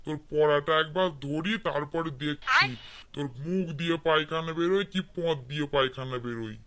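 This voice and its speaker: A man's voice: fairly high pitch, fairly quick, sharp tone, clear, resonant tone. A man voicing an irritable, gullible village schoolteacher in a comedy cartoon, with a scolding manner. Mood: stern. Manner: scolding, exasperated.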